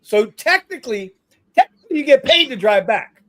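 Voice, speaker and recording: A man's voice: very high-pitched, very animated, normal speed, a bit bright. A man co-hosting a rideshare live stream, talking bluntly and teasing in casual chat. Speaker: rideshare podcast co-host.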